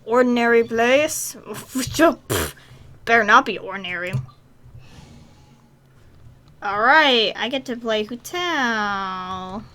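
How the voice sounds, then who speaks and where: A woman's voice: fairly high pitch, expressive, slow pace, pushed and bright. A woman giving casual, reactive let's play commentary as she plays a video game. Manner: reactive, chatty. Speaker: gaming YouTuber.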